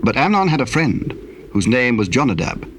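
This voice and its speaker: A man narrating a dramatized Bible, telling the story solemnly in measured, archaic English. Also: mid-range voice, sing-song, a bit bright, highs cut off, a little hiss or hum.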